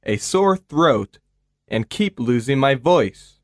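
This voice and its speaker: A man's voice: medium pitch, expressive, no echo, studio quality. A man voicing a patient with a cold who speaks hesitantly in a scripted listening dialogue. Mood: unwell. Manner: slow, hesitant speech. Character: male patient with a cold, unwell.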